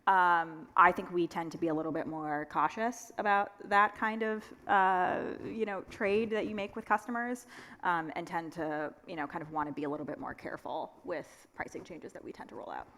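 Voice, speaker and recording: A woman's voice: lowish voice, fairly even intonation, moderate pace, fairly bright tone. Woman panelist in a reverberant hall. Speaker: tech company executive on a panel. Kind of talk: conversational panel discussion. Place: on stage at a conference. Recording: echoey hall with long reverb.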